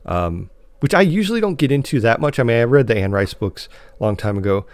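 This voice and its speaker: A man's voice: mid-range voice, very animated, fast talker, no room sound. A man co-hosting a podcast, chatting casually through a review in a hesitant, rambling, conversational way. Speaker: podcast co-host reviewing post-apocalyptic media.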